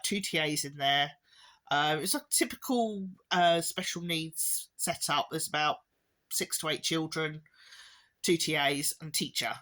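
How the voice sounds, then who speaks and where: Hoarse, low-voiced woman. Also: sharp tone. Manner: rambling, with many ums and restarts, occasional wry laughs. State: hoarse, losing her voice with a chesty cough. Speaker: parent vlogger. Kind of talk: casual spoken update to camera.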